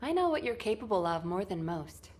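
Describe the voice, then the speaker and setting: An actress playing a capable, stern, dryly wry woman soldier with a brisk manner in a video game cutscene. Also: medium pitch, sing-song, darkish tone, close to an everyday voice. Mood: wry, composed.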